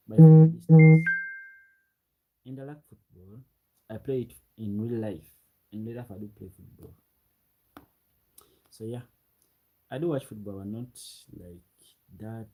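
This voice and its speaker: Young guy on a late night live, low husky gravelly voice, just rambling and repeating himself. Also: poor audio. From Uganda. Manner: repeats phrases, relaxed and rambling. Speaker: livestreamer. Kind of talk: late-night livestream chat, reading comments.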